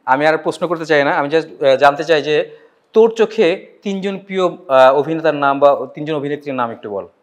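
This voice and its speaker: Higher-pitched Bangladeshi male talk show host. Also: lively intonation, moderate pace. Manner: informal, familiar. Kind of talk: casual celebrity interview with rapid-fire questions.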